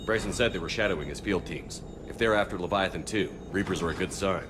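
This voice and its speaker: Deep-voiced, fast-talking military commander played by an actor. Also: male voice, noisy background, lightly acted. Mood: focused. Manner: terse, authoritative. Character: determined, no-nonsense male military commander of a starship. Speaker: commander leading a field mission. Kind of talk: video game cutscene acting.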